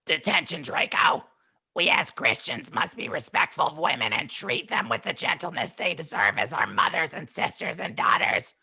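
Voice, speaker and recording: A man's voice: on the high side, expressive, moderate pace, creaky, pushed and bright, husky, exaggerated voice. A man voicing a stern, pious schoolteacher in a comic fan fiction reading, with preachy scolding.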